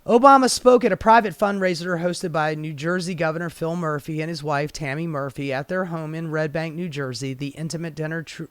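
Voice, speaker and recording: American male, high voice, dry close sound, casual political commentator, sardonic, exasperated, salty-tongued. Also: clean tone, no echo. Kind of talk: casual opinionated commentary on news articles.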